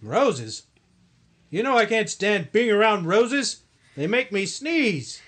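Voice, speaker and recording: A man's voice: high-pitched, big pitch swings, takes it slowly, a bit bright, heavily acted. A man playing a grumpy, stubborn, blustering father who sputters in an old-time radio sitcom. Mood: grumbling.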